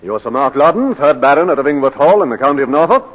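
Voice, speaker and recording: An actor playing a formal, courteous British barrister examining his client, with measured oratory in 1940s radio drama style. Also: medium pitch, expressive, a bit bright. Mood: measured, probing. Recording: muffled vintage 1940s radio broadcast.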